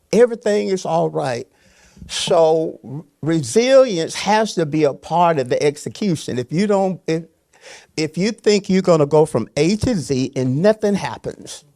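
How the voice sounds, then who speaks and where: Older guy on a panel, kinda high voice, preachy, repeats short lines for emphasis. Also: darkish tone. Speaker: panelist, pastor. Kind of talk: unscripted motivational panel talk.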